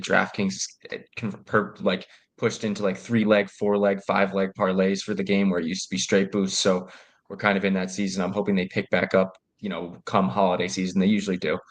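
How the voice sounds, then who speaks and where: A young adult man co-hosting a casual sports betting chat, nasal from a cold, explaining things in a relaxed way with many restarts. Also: deep voice. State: nasal from a cold, slight cough. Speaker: co-host.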